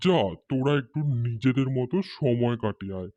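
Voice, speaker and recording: A man's voice: medium pitch, moderate pace, warm tone, no room sound, lo-fi sound, natural voice. An actor playing an anxious young man in a cartoon horror story, dramatic and pleading. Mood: concerned. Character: young male doctor friend, anxious and pleading. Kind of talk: acted Bengali cartoon horror story dialogue.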